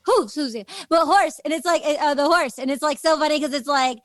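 Gushy rambly lady podcast host, really high voice, super into it, dry close sound. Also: a little fast, no room sound. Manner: gushing, enthusiastic, rambling. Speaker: podcast host interviewing a guest. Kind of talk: casual, effusive interview chat.

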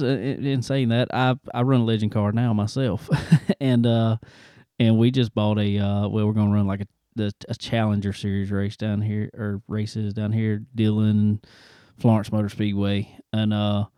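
A man's voice: on the low side, warm tone, dry recording, clean studio sound. A man hosting a podcast, who asks his guest questions in a friendly, chatty way with lots of fillers. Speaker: podcast host. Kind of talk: casual interview chat.

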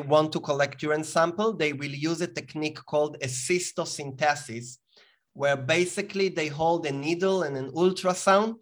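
A man from Israel speaks with an Israeli accent in a slightly higher-than-usual voice. As an interview guest, he explains things patiently, with fillers and restarts along the way. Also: a bit bright. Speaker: guest, company founder. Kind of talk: interview answers.

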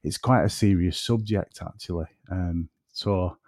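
A man with a northern English accent, from between Manchester and Liverpool, speaking in a lowish voice. He rambles in a relaxed, self-deprecating way and drifts off topic as a podcast guest. The sound is close and dry, with no room echo. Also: measured pace. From North West England. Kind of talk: casual chat.

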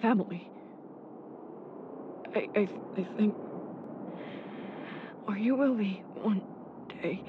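An actress in an audio drama playing an injured young anime fan, brave and affectionate, who stammers weakly through pain. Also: female voice, mid-range voice, close to an everyday voice. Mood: shy, halting. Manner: halting, stammering, weak and pained speech. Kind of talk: naturalistic audio drama acting. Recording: muffled, loud vehicle noise. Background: vehicle driving noise.

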